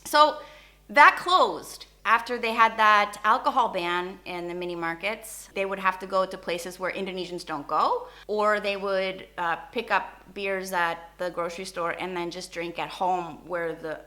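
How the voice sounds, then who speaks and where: A woman hosting a vlog, giving a casual, opinionated rant in an animated, informal way and mixing Indonesian phrases into her English. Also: on the low side, normal speed, hardly any pauses. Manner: animated, informal, acts out short imagined exchanges. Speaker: YouTube host.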